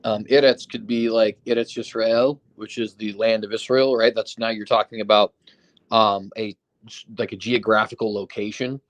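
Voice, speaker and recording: A man's voice: fairly low pitch, little pitch movement, moderate pace. A man in casual discussion, getting over an illness, who explains with examples, hedges, and keeps asking "right?". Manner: explains with examples, hedging, frequent "right?" and fillers. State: recovering from illness, foggy.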